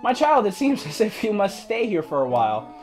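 A woman plays a gentle, motherly monster who speaks with calm courtesy and warmth. Her pitch rises and falls in wide, lively curves. This is a fan-made cartoon dub. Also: a little fast, faint music behind, close to an everyday voice. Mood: tender. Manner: kind, polite, soothing.

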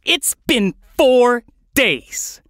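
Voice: sits high, wildly swooping pitch, slow, a bit bright, dry recording, clean studio sound, voice pushed up, full character voice. A narrator voicing an irritable, desperate male bird in an audio story, snappish and exclaiming. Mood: exasperated. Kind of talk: audio fiction character voice.

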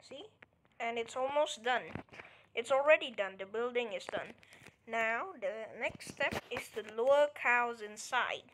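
Slow, high-voiced woman explaining steps. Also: fairly bright tone, a little hiss or hum, rough recording. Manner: counts steps aloud, checks in with "alright?". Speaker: tutorial creator. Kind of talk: casual game tutorial walkthrough.

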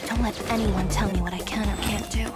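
A woman's voice: mid-range voice, steady tone, fairly quick, natural voice. A woman playing a proud, blunt, defiant young female fashion designer in a scripted game trailer. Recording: loud music under the voice. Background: loud trailer music.

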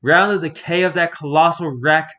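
Traveler from an ancient land, man performing: slow, solemn, theatrical poetry recitation for a horror tale. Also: fairly high pitch, fairly even intonation, bright, forceful tone, voice pushed up. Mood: grandiose. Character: solemn traveler reciting grim verse of fallen king.

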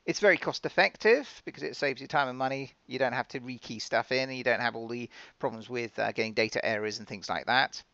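A man presenting software in a conversational, step-by-step, informal explanatory style, recorded as a dull, lo-fi voice-over. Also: mid-range voice, fast talker, no room sound. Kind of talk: conversational software demo narration.

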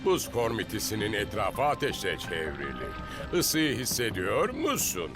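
A man's voice: on the low side, wildly swooping pitch, moderate pace. A man playing a taunting, menacing villain sorcerer in a children's action cartoon, with a theatrical, mocking delivery. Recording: loud music under the voice.

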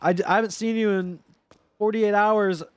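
A young man in his twenties streaming a horror game from home on a headset mic, joking loudly and swearing as he talks to chat. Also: sits high, normal speed, clear, resonant tone, no room sound. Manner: loud, swearing, joking, animated. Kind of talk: casual gameplay commentary with chat. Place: home gaming room, indoors.

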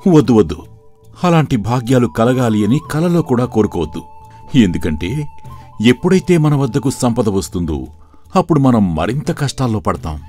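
A man's voice: medium pitch, big pitch swings, normal speed, mellow, natural voice. A man voicing a humble, contented and devout poor temple priest in a cartoon story, pleading and reasoning respectfully. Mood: reassuring. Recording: music under the voice.

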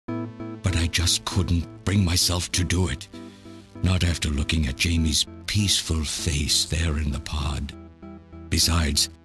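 An extremely low-voiced old mad scientist, bitter and self-justifying. He speaks in deliberate, theatrical monologue, like a lonely video game villain, with music playing underneath. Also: fairly bright tone, heavily acted. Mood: grave, confessional. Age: older.

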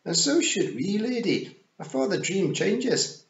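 A tiny, boastful Scottish pixie chief, pitched high and swooping wildly. The delivery is loud, strained and rough-and-ready. The recording is dull and roomy. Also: male voice, sing-song, unhurried. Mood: brisk, commanding. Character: bold cheerful tiny pixie clan leader, gruff and boastful.

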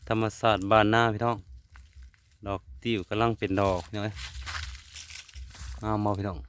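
A man with an Isan Thai accent talks casually to his viewers in a folksy way. His voice sits a bit low. He is recorded outdoors in the countryside, with some background noise. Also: level tone, normal speed, a bit bright. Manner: often addresses viewers in a folksy way. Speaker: vlogger filming a family outing. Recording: noisy outdoor rural recording.

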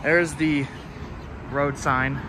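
A man's voice: a little high, fairly even intonation, drawn-out words. A man giving casual, off-the-cuff walking-tour commentary as a vlogger, with a dry, self-deprecating sarcasm. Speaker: urban exploration vlogger. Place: outdoors, walking around a building exterior. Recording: outdoor ambience with traffic noise. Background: passing cars, outdoor urban ambience.

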